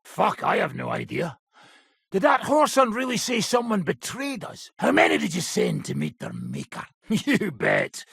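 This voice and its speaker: Gravelly, foul-mouthed medieval mercenary leader. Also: male voice, high-pitched, a bit bright, no echo, studio quality, exaggerated voice. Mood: gruff, wry. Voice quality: gravelly, raspy. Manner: blunt, coarse, sardonic, swearing freely. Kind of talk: video game character dialogue.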